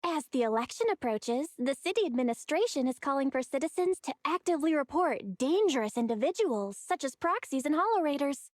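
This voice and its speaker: High-voiced shrewd young heroine. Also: female voice, expressive, fairly quick, hardly any pauses, dry recording, studio quality. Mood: coolly triumphant. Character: young sister, shrewd, composed, plucky underground operator. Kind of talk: English video game voice acting.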